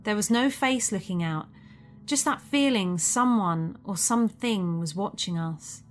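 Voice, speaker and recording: A woman's voice: mid-range voice, a little slow, soft background music. A woman narrating a spooky first-person story from a script. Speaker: narrator of a creepy true story.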